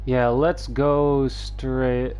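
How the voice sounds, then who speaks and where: A man streaming a game, reading dialogue aloud in a halting, low-key way. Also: level tone, a little mellow, smooth. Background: game music.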